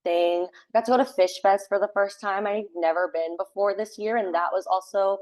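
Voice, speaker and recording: A young woman with a loud voice, a theater sound tech and assistant stage manager, chatting casually in a podcast interview and filling her talk with "like". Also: medium pitch, fairly even intonation, moderate pace. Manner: chatty, many fillers like "like".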